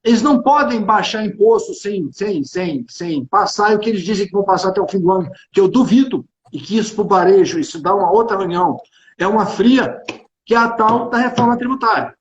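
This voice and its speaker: A man's voice: high voice, fairly quick. A man with a Carioca accent from Rio de Janeiro, speaking emphatically and informally on a business livestream, often repeating phrases. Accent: Carioca Brazilian Portuguese. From Rio de Janeiro, Brazil. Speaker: tax consultant and executive speaking on a livestream. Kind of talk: informal live business discussion. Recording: echoey room, dull band-limited livestream audio.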